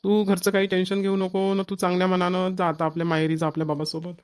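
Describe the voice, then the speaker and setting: An actor as fast-talking, clingy young husband. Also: male voice, high-pitched, completely flat delivery, a little mellow, clear, resonant tone, natural voice. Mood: reassuring. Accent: Varhadi Marathi, Vidarbha. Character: devoted, clingy young newlywed husband, eager to help. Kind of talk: cartoon family comedy dialogue.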